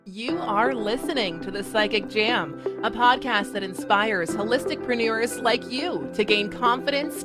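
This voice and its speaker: A woman announcer giving a scripted podcast intro in a smooth, upbeat read. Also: mid-range voice. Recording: voice over loud music.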